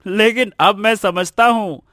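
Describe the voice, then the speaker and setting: An actor playing an earnest, humble and repentant village schoolboy in a cartoon moral story. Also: male voice, high-pitched, fairly even intonation, a little slow, bright, forceful tone, no room sound, clean studio sound. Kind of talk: animated moral story dubbing.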